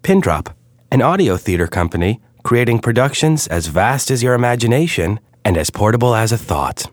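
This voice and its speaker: A man's voice: medium pitch, sing-song, normal speed, dry recording, studio quality. A man reading the production credits and introduction in a formal, scripted announcer style.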